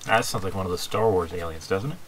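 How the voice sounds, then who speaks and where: A man's voice: low voice, quick pace. A man talking casually and off the cuff through an unboxing and product review, thinking aloud as he handles things. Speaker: haunted-house hobbyist reviewing gear. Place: indoors at a cluttered workbench. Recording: clearly noisy room recording with clicks and handling.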